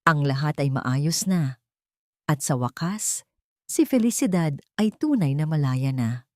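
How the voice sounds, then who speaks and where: Low-voiced woman storyteller, dry recording. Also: animated, measured pace, dark tone, no room sound. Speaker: audiobook narrator and storyteller. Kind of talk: dramatic scripted narration.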